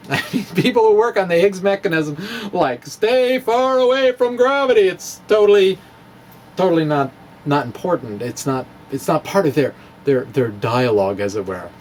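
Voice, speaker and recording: A man's voice: high-pitched, lively intonation, moderate pace, slight background noise. A man giving a dramatic reading of his own physics blog in a wry, playful way and laughing at his own jokes. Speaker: physics blogger.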